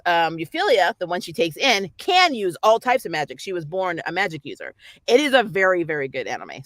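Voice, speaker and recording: Female review host, bright forward voice, dry sound, informal and opinionated, rambling casual chat. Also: mid-range voice, dry recording. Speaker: host of a pop culture review show.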